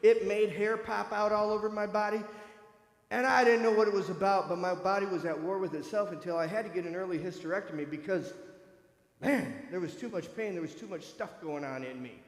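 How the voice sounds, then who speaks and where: A man's voice: high-pitched, fairly quick. A man over fifty giving an earnest, conversational personal testimony to a church congregation, speaking directly to his listeners. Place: church sanctuary. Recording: echoey hall with long reverb.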